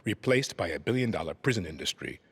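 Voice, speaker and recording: An actor playing a calm, controlling middle-aged dictator in a video game, with a Latin American Spanish accent and a measured, menacing delivery. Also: male voice, sits low, creaky, slightly rough, heavily acted. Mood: cold, cutting. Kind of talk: cinematic video game acting.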